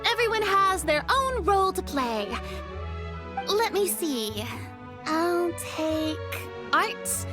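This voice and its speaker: A polite young woman in an anime game. Her voice is very high and expressive, and she talks slowly, with thoughtful hesitation. Faint music sits underneath. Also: full character voice. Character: polite, earnest young woman performer, modest newcomer.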